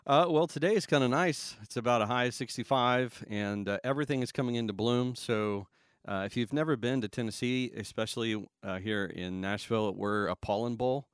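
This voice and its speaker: A man's voice: mid-range voice, fairly quick, clean tone, dry recording. Man, pastor, podcast guest, easygoing rambling talk, resonant tone, dry close sound. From Southern California. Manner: relaxed, rambling with fillers and chuckles. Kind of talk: casual podcast interview.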